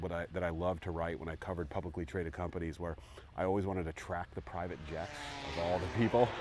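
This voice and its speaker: A man's voice: sits low, background noise. Rambling man in his forties, outdoors. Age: 40s. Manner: rambling, filler-heavy storytelling. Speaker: host. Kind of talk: casual chat on a golf course. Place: outdoors on a golf course, in a cart.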